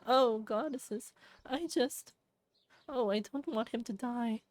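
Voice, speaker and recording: A narrator voicing an anxious, tearful young dog girl in a visual novel, halting and pleading. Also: medium pitch, no echo, voice pushed up, lightly acted. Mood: distraught.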